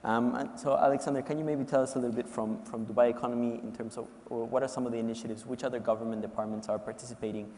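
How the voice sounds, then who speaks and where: A man's voice: mid-range voice, steady tone, rapid-fire, few pauses, darkish tone. Man moderating a conference panel. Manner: rambling, polite questions with many restarts. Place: conference hall panel stage. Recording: reverberant conference hall.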